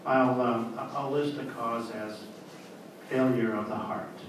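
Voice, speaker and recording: A man acts a kindly, hesitant doctor in a radio play. His mid-pitched voice stammers consolingly from far off in a very reverberant, noisy hall. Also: fairly even intonation, slow pace, natural voice. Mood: hesitant, obliging. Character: sympathetic, hesitant family doctor. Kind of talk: old-time radio drama acting.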